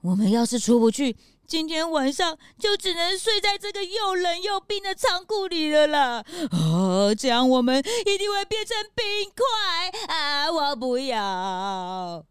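A woman voicing a small, excitable, whiny detective's sidekick in a children's audio drama, with dramatic drawn-out exclamations. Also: high voice, dry recording, clean studio sound. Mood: panicked, whining.

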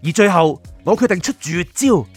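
A man's voice: sits high, lively intonation, normal speed, breathy edge, soft background music. A man with a Hong Kong accent playing an exasperated, henpecked boyfriend, telling his love woes in a colloquial, self-mocking radio drama style. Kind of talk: dramatised first-person comic storytelling.

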